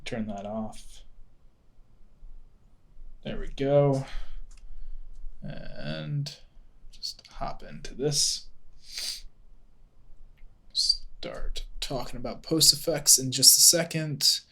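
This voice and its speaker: Man, congested, nasal, head cold, live-stream host, casual, frequent ums, mid pitch. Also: animated, extremely slow, creaky, long pauses, sharp tone. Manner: loose and chatty, with frequent ums and self-corrections. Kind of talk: casual live-stream teaching, greeting chat viewers.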